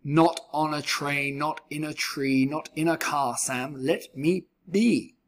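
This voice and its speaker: A man's voice: a little high, steady tone, slow pace. A man voicing a stubborn, grumpy storybook creature, huffy and emphatic in his exasperation. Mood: exasperated.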